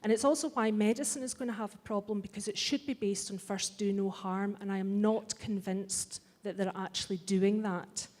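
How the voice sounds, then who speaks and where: A woman's voice: mid-range voice, fairly even intonation, moderate pace, few pauses, a little mellow. Impassioned Scottish woman in a reverberant hall. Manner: blunt, wry, impassioned. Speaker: festival speaker. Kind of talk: speech to a live audience. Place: large hall.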